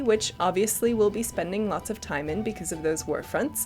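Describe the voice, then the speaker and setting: A woman co-hosting a gaming news show, wry and playfully emphatic, moving between narration and casual banter. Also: medium pitch. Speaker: show co-host.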